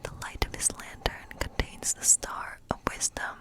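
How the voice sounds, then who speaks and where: A friendly woodland witch, played by a woman, whispers her way through an ASMR tarot role play. Her voice is all soft breath with no tone behind it. She rambles gently and lingers over phrases as she goes. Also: moderate pace, natural voice. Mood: musing, playful.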